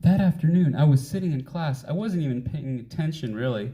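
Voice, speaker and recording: A man voicing a wistful, self-deprecating uncool young bean in an expressive children's storybook reading. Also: fairly high pitch, brisk, lo-fi sound, lightly acted. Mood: earnest, hopeful. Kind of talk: children's picture book read aloud.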